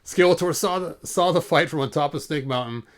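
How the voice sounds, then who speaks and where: A man's voice: fairly high pitch, a little fast. A man hosting a casual livestream storybook read-aloud, informal, stumbling over words and breaking off for asides. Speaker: host reading a storybook aloud to online viewers.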